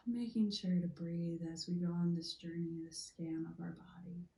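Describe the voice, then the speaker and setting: A woman leading a gentle guided meditation in a soothing, deliberate manner, leaving long pauses. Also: lowish voice, fairly even intonation. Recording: roomy, echoey sound.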